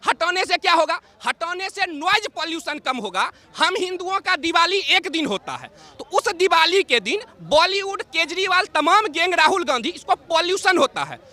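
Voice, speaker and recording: A man's voice: very high-pitched, lively intonation, normal speed, hardly any pauses, sharp tone. A man making an impassioned political argument in a street debate, combative and full of rhetorical questions. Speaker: member of the public in a street debate.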